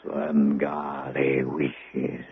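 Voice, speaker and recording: An actor playing a pompous, controlling hypnotist in a radio drama. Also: male voice, extremely low pitch, completely flat delivery, drawn-out words, gravelly, breathy edge, exaggerated voice. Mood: commanding, hypnotic. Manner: pompous, speaks of himself in third person. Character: sinister, controlling male hypnotist, theatrical and self-important. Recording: scratchy, narrow-band old radio recording.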